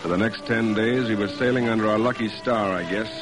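An actor playing a steady, commanding cargo ship captain in 1940s radio drama style. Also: male voice, fairly low pitch, a little fast, lightly acted. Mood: calm, composed. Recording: vintage radio recording with loud music.

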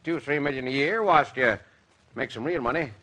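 A man's voice: mid-range voice, moderate pace, sharp tone, natural voice, poor audio. An actor playing a boastful rich Texas oilman in a 1940s radio comedy, with a Texan accent and a folksy, laughing drawl.

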